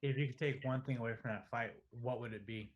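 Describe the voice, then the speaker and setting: A man asking casual interview questions as a sports interviewer, with loose, halting phrasing. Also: mid-range voice, fairly even intonation, muffled recording.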